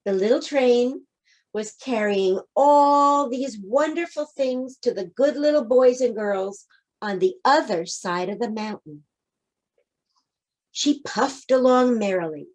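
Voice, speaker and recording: A woman reading a children's picture book aloud, expressively, speaking directly to young listeners. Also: on the high side. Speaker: storybook reader.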